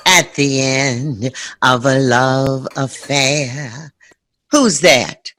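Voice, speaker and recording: A woman's voice: a bass voice, drawn-out words, fairly bright tone, slight background noise, exaggerated voice. An actress playing a playful, world-weary middle-aged mother in a naturalistic audio drama.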